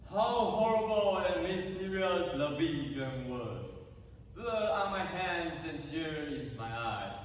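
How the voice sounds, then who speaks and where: A man's voice: fairly high pitch, very animated, slow pace. A man playing a troubled young prince in a declaimed stage reading, recorded in an echoey hall from far off. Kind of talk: reader's theatre declamation. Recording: echoey hall, distant, faint noise.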